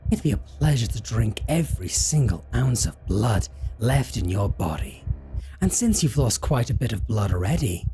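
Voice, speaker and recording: A slow, mellow-voiced male vampire, acted by a man in an audio drama, drawing out his threats with theatrical relish. His pitch swoops widely as he slips between cold menace and mock tenderness, possessive and seductive. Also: slight background noise. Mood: hungry, taunting. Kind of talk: scripted roleplay audio drama.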